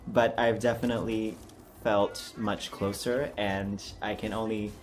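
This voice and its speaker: A man's voice: lowish voice, little pitch movement, unhurried, slight background noise. A man hosting a casual voice acting challenge, chatty, giggly and self-deprecating. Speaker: amateur voice acting challenge host.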